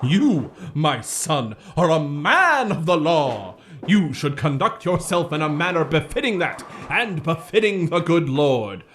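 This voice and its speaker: Fiery evangelical preacher, high-pitched, slow sermonizing, pompous, theatrical, 1950s radio melodrama. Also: male voice, big pitch swings, a bit bright, a little hiss or hum. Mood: stern reproach. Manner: pompous, theatrical, scripture-quoting delivery.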